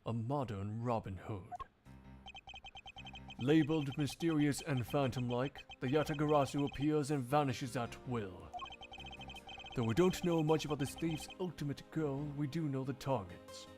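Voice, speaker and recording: A man voicing a composed, erudite prosecutor in a video game fan dub, speaking in formal, measured, dramatic phrases. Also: mid-range voice, over music, close to an everyday voice. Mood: grave, expository.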